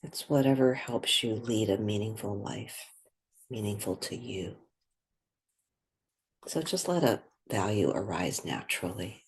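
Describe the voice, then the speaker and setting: A soft-spoken woman leading a guided meditation, pausing between short phrases. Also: very deep voice, animated, breathy edge.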